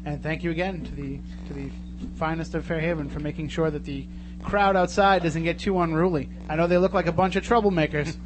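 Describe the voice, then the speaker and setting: A man with a slightly high voice hosts a live radio show in a casual, bantering way. He chats and jokes over a clearly noisy, humming broadcast recording. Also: steady tone, fairly quick. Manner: joking, bantering. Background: clear noise and hum.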